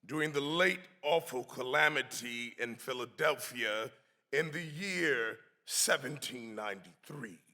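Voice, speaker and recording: A man reading as a dignified 18th-century clergyman defending his people, in a formal, deliberate, declamatory style. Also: mid-range voice, bright, forceful tone. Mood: solemn, resolute. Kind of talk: dramatic reading of a historical pamphlet. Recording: reverberant hall.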